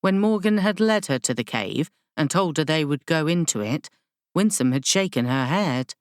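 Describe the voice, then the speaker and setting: A woman narrating literary fiction from a script as an audiobook reader, in a measured, deliberate storytelling manner. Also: sits low, expressive, no echo, clean studio sound.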